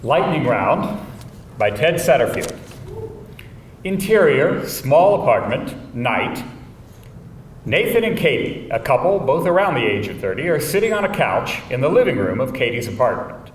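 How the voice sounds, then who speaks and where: A man narrates stage directions with lively, varied intonation in a mid-pitched voice. He sounds a little way from the mic in a very roomy space. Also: unhurried. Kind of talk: scripted narration at live table read. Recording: distant mic, roomy hall, faint rumble.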